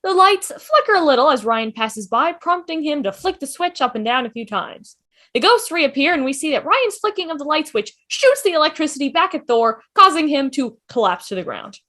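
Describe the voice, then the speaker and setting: A woman's voice: high-pitched, sing-song, brisk, pushed and bright. A woman reading scripted stage directions as narrator in an online table read. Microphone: video call.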